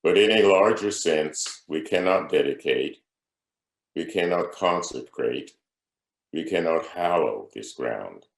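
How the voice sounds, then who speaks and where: A man giving a solemn, dramatic recitation of a historic speech, with deliberate oratorical phrasing and long pauses. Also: extremely low pitch, expressive, gravelly. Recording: echoey, reverberant room.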